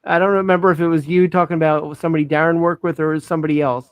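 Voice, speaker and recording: A man with a slightly high voice hosts a casual talk show in an easygoing, conversational way. His voice sounds dry and close, with no room around it. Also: level tone, very fast, smooth, no echo. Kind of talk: casual chat.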